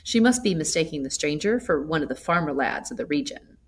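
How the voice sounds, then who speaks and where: A woman reading a literary short story aloud as an expressive narrator. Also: low-pitched, a little fast. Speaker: story reader.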